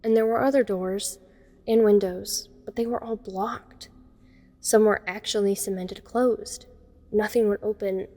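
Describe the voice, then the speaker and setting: A woman's voice: medium pitch, mellow, natural voice. A woman playing a frightened young woman, narrating a scripted first-person horror story. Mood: somber. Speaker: voice actor narrating a fan audio drama.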